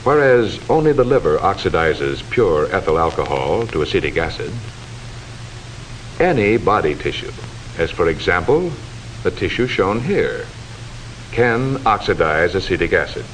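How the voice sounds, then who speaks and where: A man delivering formal, measured, instructive scripted narration in the style of a 1940s educational film, on a hissy old soundtrack. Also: low-pitched, sing-song, a bit bright, rough recording.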